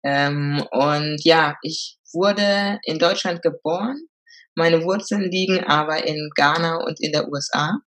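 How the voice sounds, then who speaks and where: A woman's voice: deep voice, a little slow, fairly bright tone. A woman, telling her story as a podcast guest, hesitant, with many fillers. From Germany. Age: 20s. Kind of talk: casual interview talk.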